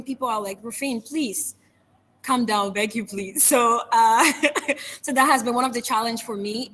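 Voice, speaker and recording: A young woman with a Liberian accent answers interview questions in an earnest, measured way, laying out her points one by one. Her voice sits fairly high. A faint noise runs behind her. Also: fairly bright tone, rough recording. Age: young adult. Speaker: guest, public speaker.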